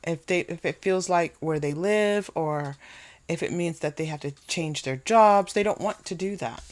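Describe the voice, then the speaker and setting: A woman doing an unscripted tarot card reading, musing aloud and repeating phrases for emphasis as she addresses the viewer. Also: fairly low pitch. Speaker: tarot reader.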